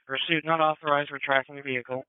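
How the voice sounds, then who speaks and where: A man's voice: on the high side, fairly even intonation, fast talker, a little hiss or hum. A man working as a police dispatcher over two-way radio, tersely repeating reports back in clipped procedural phrases. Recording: narrow-band police scanner radio audio.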